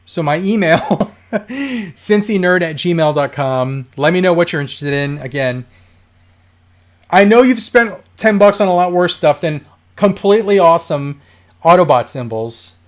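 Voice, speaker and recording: A man talking off the cuff on a home livestream, his voice hoarse and dry. His pitch sits in an ordinary middle range. He rambles cheerfully, laughs along as he goes, and reads viewer comments aloud. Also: expressive, a little slow. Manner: chatty, rambling, laughs often. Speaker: livestream host. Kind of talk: casual live chat reading viewer comments.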